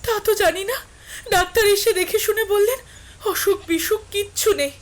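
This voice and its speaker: A woman's voice: very high-pitched, moderate pace. A woman playing an anxious, doting middle-aged mother in an audio drama, speaking in a pleading way. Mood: worried.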